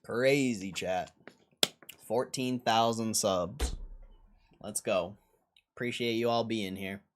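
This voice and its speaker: A man's voice: fairly low pitch, takes it slowly, a little hiss or hum. A man hosting a livestream, chatting casually and informally with viewers in slangy, often repeated phrases. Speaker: livestream host. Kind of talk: casual livestream chat, reading out viewer comments.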